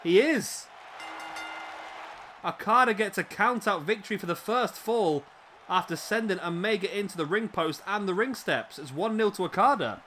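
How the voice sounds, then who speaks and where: A man with a slightly raspy voice, commentating a wrestling video game play-by-play, excitable at big moves and chatty in asides. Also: high voice, normal speed, a little hiss or hum.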